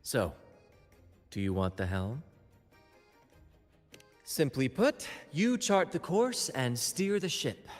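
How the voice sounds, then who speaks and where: A man's voice: mid-range voice, huge pitch swings, slow pace, pauses often. An actor playing a flamboyant, theatrical young male ship captain in a video game. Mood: grand. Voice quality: showy, theatrical. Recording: long hall reverb, faint music.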